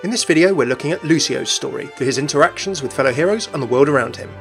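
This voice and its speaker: Male host for scripted game lore narration, brisk pace, voice a bit on the high side. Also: expressive, creaky, faint music behind. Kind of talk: scripted video narration about a game character.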